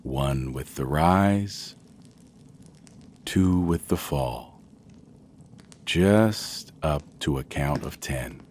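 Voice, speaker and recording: A man gently narrating a guided sleep meditation in a soothing, measured way with long pauses. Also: very deep voice, lively intonation, slight background noise.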